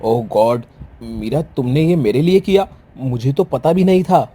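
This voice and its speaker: A man playing a mild, emotional young husband with a husky voice. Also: medium pitch, lively intonation, measured pace, mellow. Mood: moved.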